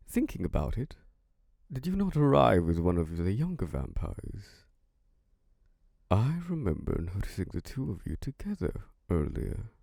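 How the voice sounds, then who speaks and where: Ancient vampire lord, played by a man: very deep, unhurried, flirtatious and possessive, in an ASMR drama. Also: no room sound, clean studio sound, heavily acted. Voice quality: deep, gravelly. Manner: slow, velvety and flirtatious, with soft laughs. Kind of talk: ASMR roleplay audio drama.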